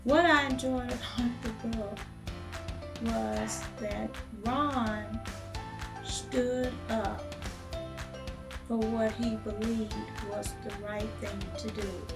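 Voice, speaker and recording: A woman reading a storybook aloud to children in a deliberate, expressive manner. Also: on the high side, over music. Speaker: read-aloud guest reader.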